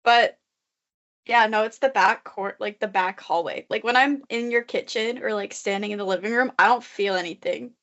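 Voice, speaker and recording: A woman's voice: medium pitch, normal speed, a bit bright. A young woman co-hosting a podcast, chatting casually and rambling with many fillers. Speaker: podcast co-host. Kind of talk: casual chat. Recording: muffled lo-fi recording.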